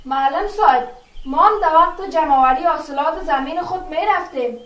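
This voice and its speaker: A woman's voice: high voice, fairly bright tone. A woman playing a polite, eager village schoolgirl, deferential and earnest, in a radio drama. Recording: echoey room, distant from mic.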